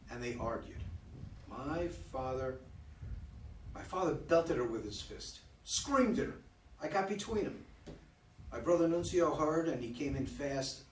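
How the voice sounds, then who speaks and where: An earnest, disillusioned former fiancé played by a man in a dramatic play reading. His voice sits a little high. The sound is roomy and distant, with faint noise behind it.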